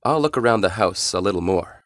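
A man's voice: sits low, expressive, brisk, fairly bright tone, no echo, clean studio sound. An actor playing a cool, authoritative male squad commander in a stilted nineties video game. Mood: grave, commanding.

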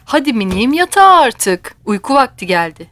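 A woman playing a loving, gently instructive mother in an animated children's cartoon. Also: on the high side, brisk, no room sound. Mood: tender. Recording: music behind the voice.

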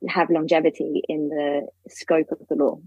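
A woman lawyer, explaining legal points carefully in a podcast interview, with a husky voice. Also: low voice, level tone, normal speed, a little mellow, no echo, dull audio. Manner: articulate, explains carefully with fillers. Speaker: lawyer guest.

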